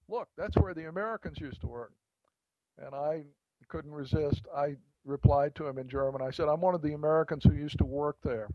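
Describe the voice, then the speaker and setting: A man, an author, being interviewed, telling an anecdote in a reflective way with pauses and restarts. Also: on the high side, no echo, muffled recording. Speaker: author guest. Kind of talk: interview storytelling.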